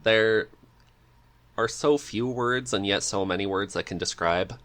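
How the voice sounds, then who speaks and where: A man with a very low voice hosts a casual podcast chat. He speaks hesitantly and agreeably, in an informal, conversational way. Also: a little slow, fairly bright tone. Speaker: podcast co-host reading questions.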